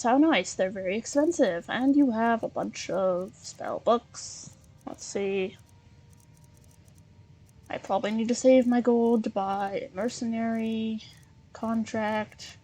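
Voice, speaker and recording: A woman's voice: fairly high pitch, lively intonation, takes it slowly, darkish tone. A woman doing an improvised in-character let's play, chatty and hesitant as she thinks aloud. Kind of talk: in-character improvised gameplay roleplay and commentary.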